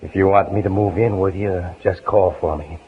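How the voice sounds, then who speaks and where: A man's voice: sits low, fairly even intonation, moderate pace, breathy edge. An actor playing an earnest, fatherly ex-convict evangelist in a persuasive, plain-spoken 1950s radio style. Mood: earnest, urging. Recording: noisy, tinny 1950s radio broadcast.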